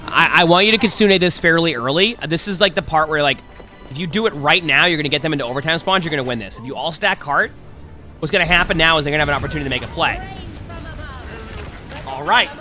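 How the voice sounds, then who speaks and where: A man streaming and coaching a player through gameplay, talking directly to them about their mistakes, a little hoarse from being under the weather. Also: a little high, quick pace, bright, forceful tone, soft background music.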